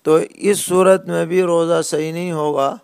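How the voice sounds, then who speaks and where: Male religious scholar, very unhurried and deliberate, slightly high-pitched, giving plain rulings in question-and-answer form. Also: steady tone, vocal fry, clean tone, no room sound, studio quality. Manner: measured, deliberate, pausing mid-sentence. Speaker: Islamic scholar (mufti).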